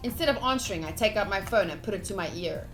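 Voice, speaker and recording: Expressive woman streamer reading over music. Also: medium pitch, fast. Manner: expressive, playful, theatrical reading. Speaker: game streamer. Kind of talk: dramatic read-aloud of visual novel with casual commentary. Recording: game music behind.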